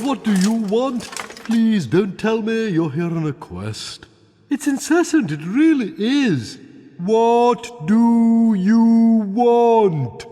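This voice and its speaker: An actor playing a pompous, snobbish aesthete dragon in a video game, with a haughty, theatrical, affected drawl. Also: male voice, sits high, mellow, slight background noise. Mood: weary disdain. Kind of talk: video game cutscene acting. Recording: long cavernous reverb.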